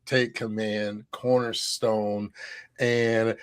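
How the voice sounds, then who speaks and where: A man's voice: on the low side, little pitch movement, drawn-out words, clear, resonant tone. A man co-hosting an online HR event over a video call, in an upbeat, joking, casual manner with frequent fillers. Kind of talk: casual livestream chat.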